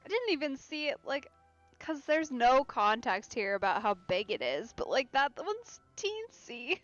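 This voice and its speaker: A woman's voice: high voice, very animated, a little slow, a bit bright, dull audio, soft background music. A woman streamer giving chatty, informal commentary while playing a game. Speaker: let's play streamer. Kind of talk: casual gameplay commentary.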